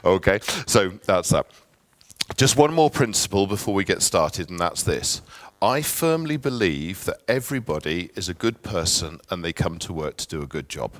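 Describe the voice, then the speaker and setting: A man's voice: deep voice, big pitch swings, normal speed, dry recording. A middle-aged man with an English accent gives a conference workshop talk in a friendly, chatty way, with asides and filler ums. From England. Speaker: workshop presenter.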